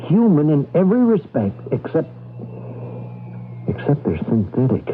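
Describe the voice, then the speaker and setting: An actor playing a grave, fearful male fugitive in a 1980s radio drama, speaking portentously with hesitant, dramatic pauses. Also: on the high side, big pitch swings, slight background noise. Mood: grave, revelatory. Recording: tinny vintage radio broadcast, faint music behind.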